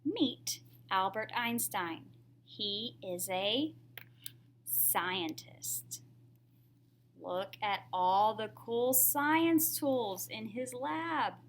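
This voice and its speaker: A woman's voice: on the high side, animated, very slow, a little hiss or hum. A woman reading a picture book aloud to young children in a teacherly way, often putting questions to the listener.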